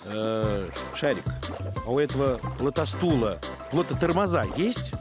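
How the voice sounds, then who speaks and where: Актёр озвучивает дружелюбного и любопытного гостя-отпускника в мультфильме, говорит вежливо, часто с вопросом. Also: male voice, medium pitch, animated, unhurried, natural voice. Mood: puzzled. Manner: polite, eager, questioning. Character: friendly, curious male celebrity visitor on holiday. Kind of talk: animated cartoon voice acting. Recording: music behind the voice.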